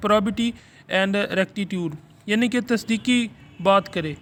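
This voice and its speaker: A man with a Pakistani accent and a high-pitched voice gives an informal lesson. He shifts between careful, halting reading of notes and looser explanation, like a teacher preparing students for an exam. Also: a bit bright. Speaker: law teacher. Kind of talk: informal exam lecture, explaining read-out English notes in Urdu.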